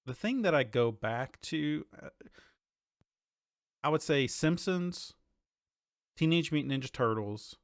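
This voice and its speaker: A man's voice: a little high, lively intonation, slow pace, pauses often, no echo. Casual man podcasting, dry close sound. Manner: informal, conversational, thinking aloud. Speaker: podcast host. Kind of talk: casual chat about TV and games.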